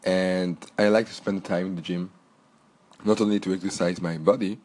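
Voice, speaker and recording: Deep-voiced French-accented man, middle-aged, hoarse from a cold, earnest solo podcast host. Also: steady tone, moderate pace, a little mellow. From Belgium. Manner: earnest, repetitive and motivational, with restarts.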